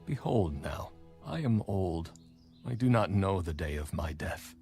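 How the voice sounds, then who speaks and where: Male aging patriarch: grave, low, scripture drama with soft music. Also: big pitch swings, measured pace, gravelly, full character voice. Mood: grave, weary.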